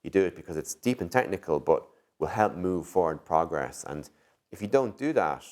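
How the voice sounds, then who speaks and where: A man giving conversational interview answers as a tech executive, thinking aloud in an animated way with lots of "you know" and "like". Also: low-pitched, moderate pace.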